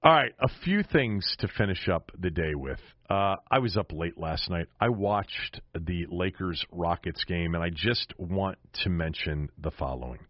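A man's voice: low-pitched, expressive, a little slow, few pauses, no room sound, dull audio. A middle-aged American man giving casual, opinionated solo sports commentary as a host, speaking emphatically. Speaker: sports podcast and radio host.

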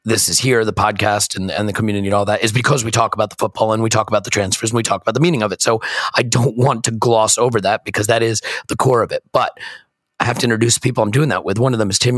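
Male podcast host, American, hoarse croaky voice, dry close mic, self-deprecating chat. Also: fairly low pitch, fairly bright tone, no echo. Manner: self-deprecating, rambling with restarts. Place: hotel room.

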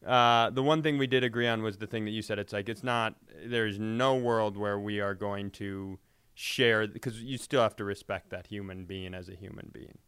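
A man co-hosting a comedy podcast in casual chat, talking in a halting, self-deprecating way. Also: lowish voice, fairly even intonation, fairly bright tone, clear, resonant tone, no room sound. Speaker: podcast co-host.

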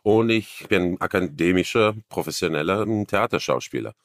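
A man with a trace of a Viennese accent speaks in a very low, deep voice. He talks haltingly, restarting sentences as he hunts for the right word, and often makes fun of himself. The sound is close and dry, as if from a studio mic. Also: animated, fairly bright tone, no room sound. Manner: halting, searching for words, self-deprecating. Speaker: actor guest. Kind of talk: casual interview chat.

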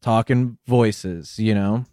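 A man's voice: on the low side, slow pace, warm tone, dry recording, studio quality. A man co-hosting a comedy podcast over a video call, joking and rambling with lots of fillers. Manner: jokey, rambling, trails off with fillers. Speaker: podcast co-host.

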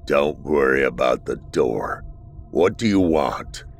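A man voicing a slimy, sagging lighthouse dweller who talks gruffly and slowly in a deep, guttural voice. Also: lively intonation, soft background music, exaggerated voice. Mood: hostile.

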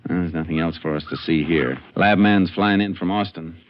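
An actor playing a calm, shrewd Texas Ranger in a 1950s western radio drama, terse and matter-of-fact. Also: male voice, deep voice, normal speed, lightly acted. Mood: calm, analytical. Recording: muffled, hissy old radio recording.

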